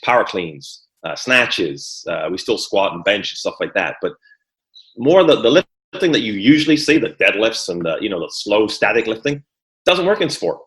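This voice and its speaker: A man's voice: lowish voice, big pitch swings, moderate pace, fairly bright tone. A middle-aged man from Guyana, raised in England, talking easily as a podcast guest about his sports career and often repeating words as he starts a sentence. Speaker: former Olympic hurdler and trainer, guest. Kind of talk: conversational interview. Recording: echoey room.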